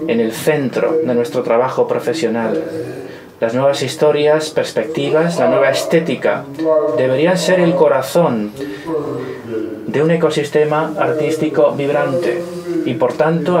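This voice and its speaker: Man, conference interpreter, formal, measured phrases, medium pitch, crowd noise. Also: poor audio.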